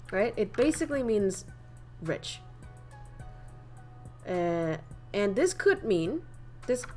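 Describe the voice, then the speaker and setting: A woman's voice: medium pitch, darkish tone. Chatty Vietnamese-accented young woman streamer. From northern Vietnam. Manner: chatty, laughs often, reads chat aloud. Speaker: streamer teaching idioms. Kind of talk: casual livestream teaching.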